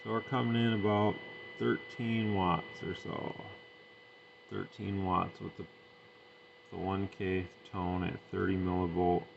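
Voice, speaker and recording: Low-pitched, monotone man with gravelly fry. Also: a little slow. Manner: matter-of-fact, thinking aloud. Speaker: radio hobbyist demonstrating and testing equipment. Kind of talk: casual off-the-cuff product demonstration. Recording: dull lo-fi sound with faint noise.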